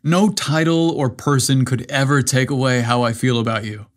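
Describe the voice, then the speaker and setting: A man's voice: medium pitch, moderate pace, lightly acted. A man voicing an affectionate, mischievous young groom with casual dude talk in a narrated story. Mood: sincere.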